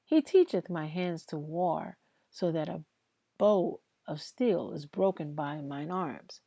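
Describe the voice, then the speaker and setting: A woman reading Bible passages aloud in a devotional style, with deliberate, emphatic phrasing. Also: low-pitched, lively intonation, gravelly, dark tone, no echo. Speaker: host reading scripture aloud. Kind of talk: slow devotional Bible reading aloud, with informal asides to listeners.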